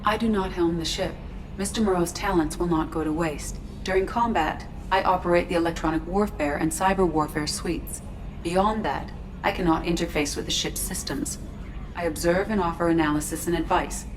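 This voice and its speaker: An actress playing a starship's artificial intelligence in a video game, speaking in a measured, formal, detached way. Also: female voice, lowish voice, background noise, natural voice. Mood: calm, detached. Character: calm, precise female starship artificial intelligence.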